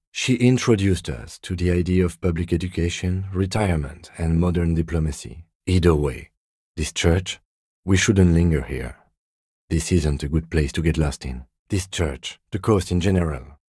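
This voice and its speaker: A man acting a reserved, dutiful police detective in a video game, speaking in a measured, formal, deadpan way with dry courtesy. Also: sits low, breathy edge, no echo. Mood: measured, matter-of-fact. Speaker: voice actor.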